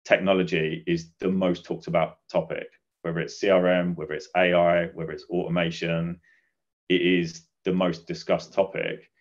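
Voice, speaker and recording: Middle aged guy on a podcast, really low even voice, explains stuff step by step, bit muffled. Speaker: guest, recruitment business advisor. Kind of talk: conversational podcast interview answers. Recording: dull, muffled lo-fi audio.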